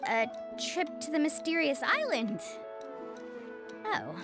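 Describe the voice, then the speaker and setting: A woman's voice: sits high, very animated, slow, over music, exaggerated voice. A woman playing a friendly young island guide and shopkeeper in a video game, chatty and reassuring. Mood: earnest, hesitant.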